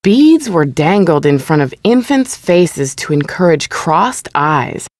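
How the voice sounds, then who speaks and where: An actress playing a museum audio guide, giving measured, instructive scripted narration in a video game. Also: female voice, deep voice, sing-song, darkish tone, no echo, exaggerated voice.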